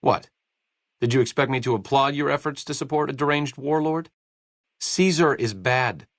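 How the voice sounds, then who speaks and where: An actor playing a sarcastic, idealistic wasteland doctor in a video game, with an American accent and a dry, articulate manner. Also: male voice, mid-range voice, normal speed, fairly bright tone, dry recording, close to an everyday voice. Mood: sarcastic, disapproving. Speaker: video game companion character. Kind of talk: video game voice acting, conversational dialogue.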